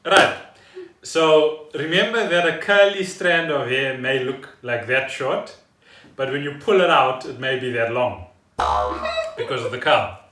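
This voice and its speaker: A bright-voiced man talks from a little way off the mic in an echoey living room. He chats casually as a podcast co-host, rambling through explanations like someone telling a story. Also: medium pitch, a little slow. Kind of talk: casual chat. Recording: roomy echo, distant from the mic.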